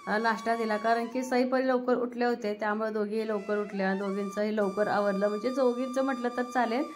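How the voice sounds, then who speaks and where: A woman's voice: fairly high pitch, flat delivery, brisk, noisy background. A woman with a rural Maharashtrian Marathi accent, chatting casually as she narrates a home cooking vlog. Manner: chatty, conversational. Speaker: family vlog host.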